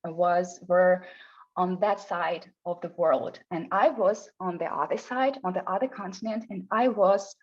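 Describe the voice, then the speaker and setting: A woman from Russia with a Russian accent, English her second language, speaking as a guest in a casual interview, often pausing and restarting her phrases. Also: lowish voice, husky, lo-fi sound. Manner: hesitant, restarts phrases, non-native grammar.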